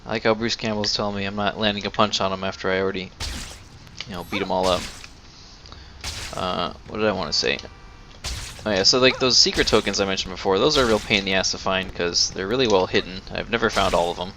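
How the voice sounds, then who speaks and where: A man's voice: sits low, quick pace, noisy background. A young man giving casual, sarcastic gameplay commentary, with some swearing. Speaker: let's play host.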